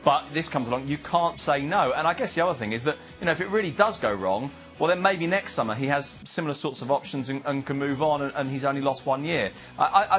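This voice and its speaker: A man talking at mid pitch at a brisk clip, restarting his sentences as he weighs in on football. Faint music plays underneath him. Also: fairly bright tone. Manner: rapid, hesitant restarts. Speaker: football journalist. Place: TV studio.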